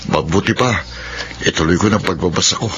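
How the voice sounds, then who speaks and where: A man's voice: low-pitched, brisk, close to an everyday voice. A man playing an earnest, principled young working student in a radio drama, polite in manner, with a Filipino accent. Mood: earnest, firm. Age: young adult. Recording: muffled, noisy radio drama broadcast.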